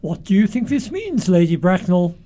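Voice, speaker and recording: Man acting a mellow, pompous country clergyman. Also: high voice, very animated, normal speed. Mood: mild concern. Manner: pedantic, unctuous, formal. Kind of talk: stage comedy radio acting.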